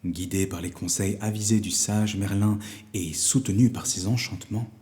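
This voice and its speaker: A man narrating a scripted fairy tale for children in a measured, theatrical storytelling manner. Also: fairly low pitch, fairly even intonation, darkish tone.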